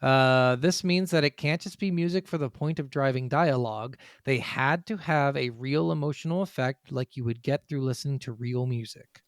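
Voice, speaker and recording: A man's voice: medium pitch, moderate pace, hardly any pauses, no echo, studio quality. A man co-hosting a podcast in casual chat, working through his notes with rambling, sometimes profane asides. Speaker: podcast co-host.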